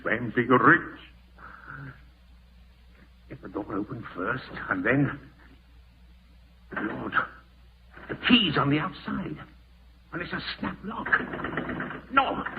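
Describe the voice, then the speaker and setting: A man's voice: sits high, background noise, exaggerated voice. An actor playing a greedy, treacherous man in a melodramatic 1940s radio drama, muttering to himself and given to frantic outbursts. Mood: gloating, then panicked. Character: greedy, treacherous man, trapped and unraveling in panic. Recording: muffled vintage 1940s radio recording.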